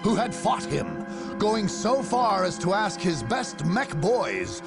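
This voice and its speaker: An actor playing a grandiose war chronicler, narrating a video game with a raspy, theatrical, emphatic delivery. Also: male voice, high voice, sing-song, takes it slowly, over music, full character voice. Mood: wry, grandiose. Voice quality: gravelly, raspy. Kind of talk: dramatic scripted narration.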